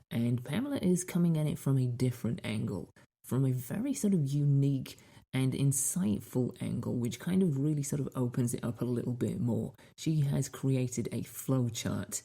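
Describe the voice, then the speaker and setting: Mellow, husky man hosting a podcast. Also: mid-range voice. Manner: playful rhetorical questions and repetition. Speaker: podcast host. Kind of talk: casual chatty podcast monologue.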